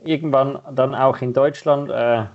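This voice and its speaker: A man's voice: medium pitch, steady tone, a little slow, narrow, tinny audio. A man hosting a podcast, interviewing a guest in a conversational style. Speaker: podcast host.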